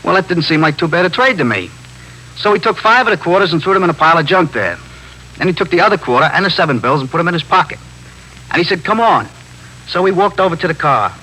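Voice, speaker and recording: An actor playing a nervy, talkative small-time drug pusher in a 1950s radio drama, rambling on in streetwise slang and justifying himself. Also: male voice, on the high side, expressive, quick pace, bright, forceful tone. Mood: animated storytelling. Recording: noisy 1950s radio broadcast recording with hum.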